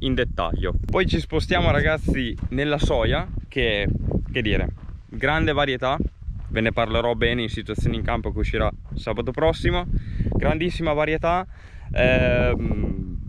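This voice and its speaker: A young farmer vlogging outdoors, chatting to viewers as he explains his crops, filmed on a handheld action camera. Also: medium pitch. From Italy. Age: young adult. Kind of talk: casual farm vlog to camera. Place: outdoors in open farm fields, windy. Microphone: action camera held at arm's length. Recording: wind noise on camera mic.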